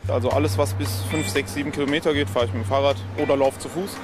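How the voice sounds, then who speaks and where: A man's voice: mid-range voice, steady tone, very fast, music in the background. A man giving casual vox pop answers as an interviewee. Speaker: street interviewee.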